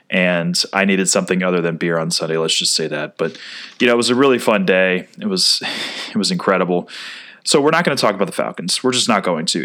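Chatty low-voiced man hosting a podcast. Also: fairly bright tone. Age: 30s. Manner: chatty and rambling, with many "uh" fillers and restarts. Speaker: sports podcast host. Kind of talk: casual solo podcast monologue.

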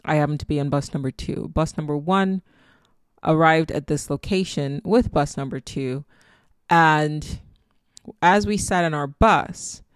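A woman's voice: deep voice, dry recording. Reflective Nigerian-accented woman, dry close sound. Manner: reflective, meandering, spiritual musing. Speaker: podcast host. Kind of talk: casual solo podcast monologue.

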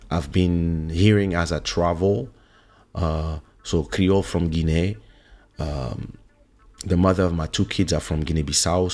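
A man from Congo hosting a podcast, talking casually in a relaxed, rambling way and often correcting himself. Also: deep voice. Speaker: podcast host. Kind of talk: casual monologue.

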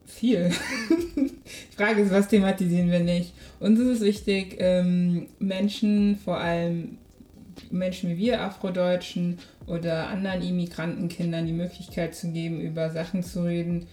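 A woman in her twenties, a guest on a casual podcast, talking in a lively way. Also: fairly low pitch, moderate pace, echoey room. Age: 20s.

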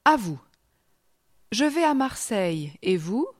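A woman teacher reading slow, clear repetition drills for learners, with deliberate pronunciation and pauses. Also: mid-range voice, very animated, no echo, clean studio sound. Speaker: language teacher. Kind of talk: slow scripted language drill.

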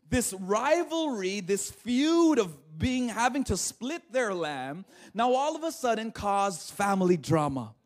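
A man, preaching a livestreamed sermon in a conversational way, often asking "right?" and laughing easily. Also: sits high. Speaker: pastor.

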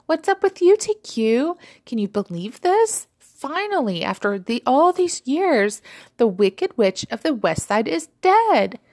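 A woman voicing a brash, excitable, blunt grown daughter in an audiobook story. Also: mid-range voice, moderate pace, gravelly. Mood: gleeful, excited. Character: brash, gleeful, unforgiving grown daughter on the phone.

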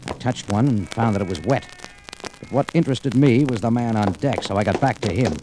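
An actor playing a hardboiled American adventurer, narrating and talking tersely and wryly in 1940s radio noir style. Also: male voice, fairly low pitch, fairly quick, noisy background, lightly acted. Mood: wry narration. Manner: terse, world-weary, wry.

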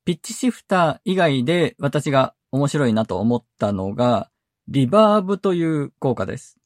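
A man hosting a tech podcast, explaining software methodically, step by step. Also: medium pitch, sing-song, moderate pace, no room sound, clean studio sound. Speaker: podcast host.

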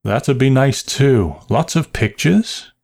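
A man voicing an anxious father in an audiobook story, forcing agreeable cheer with nervous, halting reassurance. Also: lowish voice, expressive, a little mellow. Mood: interested, musing.

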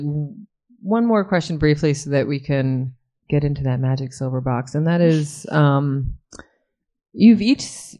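A woman's voice: deep voice, a little mellow. Woman moderating a book panel, rambles a bit, friendly.

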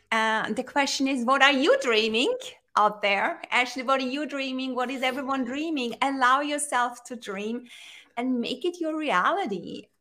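An earnest woman from Austria talks as a guest on a call. Her voice sits a little high and she takes her time with each thought, pausing as she searches for words. She sounds sincere and uplifting. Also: bright, forceful tone. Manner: earnest, inspirational, slightly halting non-native phrasing. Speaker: podcast guest, brand strategist. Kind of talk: conversational interview.

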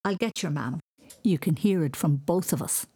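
Woman reading as a gentle, husky storybook dad. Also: deep voice, moderate pace. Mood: calm. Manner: patient, explanatory, fond. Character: gentle, affectionate father of a young boy. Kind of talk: children's audiobook character.

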